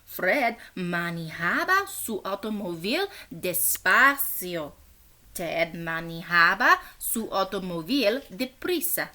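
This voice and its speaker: A woman with a bright voice reads a picture book aloud to young children as their teacher. Her pace is slow and deliberate, and her pitch moves in an expressive, animated way. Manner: deliberate, expressive, acts out short quotes; encouraging asides and questions to young listeners. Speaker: first grade teacher reading aloud.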